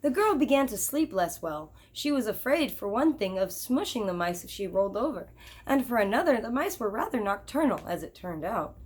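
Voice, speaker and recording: A woman's voice: mid-range voice, very animated, a little fast, a little mellow, breathy edge. A woman teacher reading a children's picture book aloud expressively, with chatty asides to her listeners.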